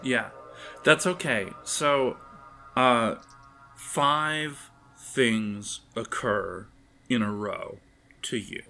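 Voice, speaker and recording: A man improvising narration as game master of a tabletop horror game, halting as he searches for words. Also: medium pitch, vocal fry, pushed and bright, soft background music.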